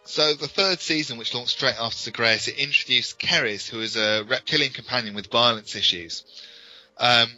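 A man's voice: medium pitch, moderate pace, bright, forceful tone. A British man co-hosting a podcast, talking casually and frankly as an opinionated fan. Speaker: podcast co-host. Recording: dull lo-fi audio with music.

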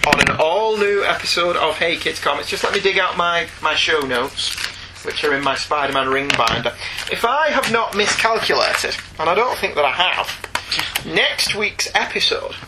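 A man's voice: a little high, normal speed, very noisy. A middle-aged British man chatting casually as a podcast host, with a wry, jokey, mock-exasperated way of talking. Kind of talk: casual comic book podcast chat.

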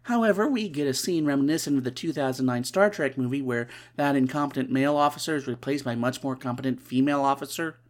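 Nasal stuffed-up podcast host, middle pitch, dry sarcastic asides. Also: fast, few pauses. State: stuffy nose. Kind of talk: casual comic recap.

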